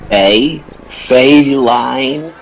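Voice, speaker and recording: Man as slow, secretive circus clown. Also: mid-range voice, vocal fry, a little hiss or hum. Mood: secretive, tense. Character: Duckfoot, secretive, troubled male circus clown. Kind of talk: old-time radio play acting. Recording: dull, lo-fi call audio.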